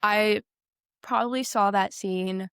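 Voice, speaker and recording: A woman's voice: medium pitch, steady tone, takes it slowly, a bit bright, clear, resonant tone, dry recording, clean studio sound. A woman co-hosting a podcast, asking questions in casual, playful, teasing conversation. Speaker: podcast co-host. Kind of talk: casual interview chat.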